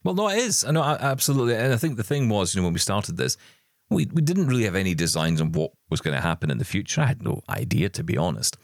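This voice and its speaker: A man's voice: low-pitched, very animated, fast talker, vocal fry, no echo, clean studio sound. A man co-hosting a podcast, chatting in an upbeat, rambling way. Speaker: podcast co-host. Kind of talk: casual podcast chat.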